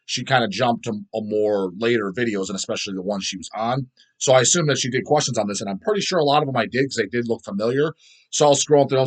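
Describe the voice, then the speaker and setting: A man with a mid-pitched voice talks casually as the host of his own video show. He is blunt, opinionated and heavily profane. His delivery rambles, and he repeats words to drive a point home. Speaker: host of a video show about underground death metal. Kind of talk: casual rambling opinion vlog, answering viewer comments.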